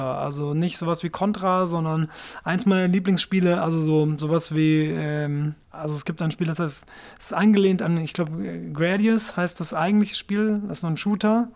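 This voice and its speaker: A man in his late thirties who grew up in Japan, talking casually as a musician guest in an interview and often correcting himself mid-sentence. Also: high voice. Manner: rambling, self-correcting, chatty.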